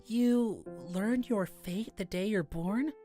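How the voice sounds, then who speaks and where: A woman voicing Fawn's part, a shy, gentle young male forest dweller in a visual novel, soft and earnest. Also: mid-range voice, takes it slowly, faint music behind, natural voice. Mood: shy, puzzled.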